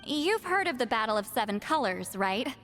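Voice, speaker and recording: A woman's voice: fairly high pitch, big pitch swings, normal speed, a bit bright. A woman playing a blunt, irritable and condescending shaman granny who sounds youthful, in a video game. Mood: blunt, imperious. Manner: brusque, condescending. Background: background music.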